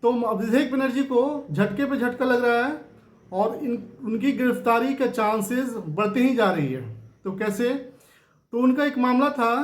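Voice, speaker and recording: Man, high-pitched voice, informal news host, explanatory delivery, roomy echo. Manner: explanatory, with rhetorical questions and tag phrases. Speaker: YouTube news show host. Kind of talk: informal political news commentary.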